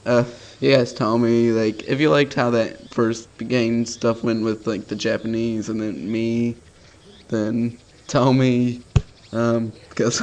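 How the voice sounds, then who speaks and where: A man's voice: mid-range voice, a little mellow. A man hosting a gaming video, reading a visual novel aloud casually and stumbling now and then with sweary, self-deprecating asides.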